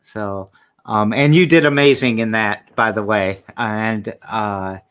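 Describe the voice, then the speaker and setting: A man's voice: lowish voice, slow, a bit bright, clear, resonant tone. A man hosting a casual interview, asking friendly, encouraging questions. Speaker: interview host.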